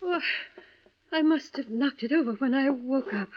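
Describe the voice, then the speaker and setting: An actress playing a frail, distressed sea captain's wife with a trembling manner, in an old-time radio drama. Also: female voice, high voice, moderate pace, heavy background noise, natural voice. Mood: dreamy, shaken. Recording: dull lo-fi vintage radio recording.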